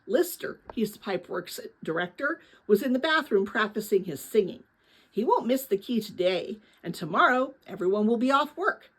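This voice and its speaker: A woman voicing an excited, impatient boy on an adventure in a storybook read-aloud, talking in an urgent rush. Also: mid-range voice, moderate pace, husky. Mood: eager, impatient.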